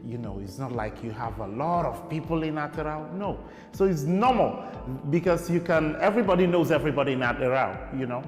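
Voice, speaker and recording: A young Nigerian man, a guest in an interview, talking casually and rambling with many fillers. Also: mid-range voice. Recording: echoey room with long reverb.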